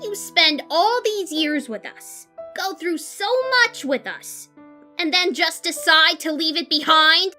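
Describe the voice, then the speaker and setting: A woman playing a brash, hot-tempered young female pegasus pony in a cartoon audio drama, blunt and rough-edged. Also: very high voice, takes it slowly, sharp tone, faint music behind, heavily acted. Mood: hurt, bitter. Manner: blunt, confrontational, emotional.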